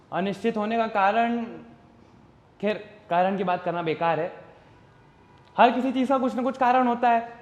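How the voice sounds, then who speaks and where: A man performing a stage monologue as an uncertain, philosophical common man, speaking deliberately and reflectively straight to the audience. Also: high-pitched. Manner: deliberate, reflective, rhetorical, addressing the audience directly. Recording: roomy echo with long reverb.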